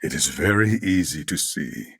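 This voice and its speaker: A man playing a courteous, dignified, loyal warrior in a video game, with formal, measured and humble diction. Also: extremely low pitch, animated, gravelly, fairly bright tone, slightly rough, dry recording, studio quality, full character voice. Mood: earnest, reflective. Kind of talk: scripted video game character acting.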